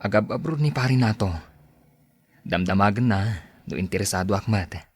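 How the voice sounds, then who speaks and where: A man's voice: fairly low pitch, expressive, normal speed, husky. A man playing an earnest, hard-pressed working husband and father in a podcast drama. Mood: earnest, resolved.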